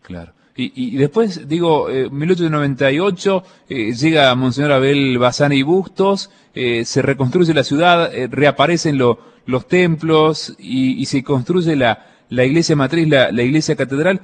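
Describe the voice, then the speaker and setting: A man hosting a religious radio interview, speaking haltingly with many pauses and restarts. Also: mid-range voice. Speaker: radio host.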